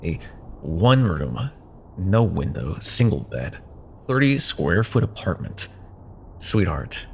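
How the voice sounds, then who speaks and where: Man acting a deep, gravelly, condescending CEO ex. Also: a little hiss or hum. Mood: smug mockery. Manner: condescending, sardonic, drawling pet names. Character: arrogant corporate CEO ex-lover, mocking, possessive, menacing cyberpunk man. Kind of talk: ASMR roleplay, dramatic monologue.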